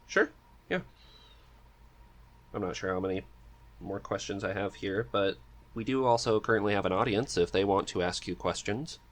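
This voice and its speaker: A man's voice: fairly low pitch, normal speed, pauses often. A man hosting a casual interview chat, with a lightly teasing, dryly joking manner. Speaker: podcast host, interviewer.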